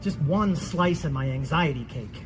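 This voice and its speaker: Behind clear background noise, a man acts an anxious husband in a stage comedy. He is middle-aged, cynical and exasperated, with a very lively melody to his speech and a habit of stumbling over his words. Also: on the high side, unhurried. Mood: anxious, venting. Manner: stammering, self-deprecating, exasperated. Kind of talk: comedic stage play acting. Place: theatre stage at a festival.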